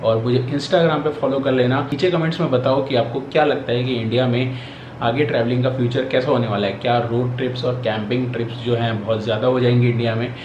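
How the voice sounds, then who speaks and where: A man's voice: mid-range voice, fairly even intonation, fast talker, a little mellow, dull audio, slight background noise. A young man from India talking casually to the camera in a small bedroom, a travel vlogger who repeats his points and explains as he goes. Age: young adult. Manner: chatty, repeats his points, explains while packing gear. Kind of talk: casual vlog talk to camera. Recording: echoey room with music behind.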